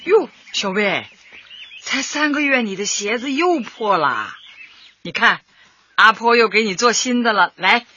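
Doting, bright-voiced old great-grandmother. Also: on the high side, animated, unhurried. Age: older. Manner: doting, repeats words, chuckles often. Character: kindly elderly great-grandmother, beloved community party secretary. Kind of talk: radio drama acting. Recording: dull lo-fi broadcast with faint noise.

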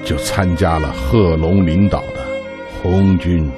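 Deep-voiced old veteran, reminiscing slowly. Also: male voice, steady tone. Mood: nostalgic. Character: ninety-six-year-old Red Army veteran fondly recalling his youth. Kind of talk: radio drama reminiscence. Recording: loud string music under the voice.

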